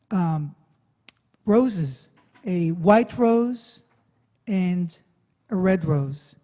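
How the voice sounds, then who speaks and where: A man from Israel casually introducing songs as a musician, telling stories in halting, thoughtful phrases with pauses. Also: high voice, dark tone. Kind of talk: casual concert introduction, storytelling. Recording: long reverberant room sound.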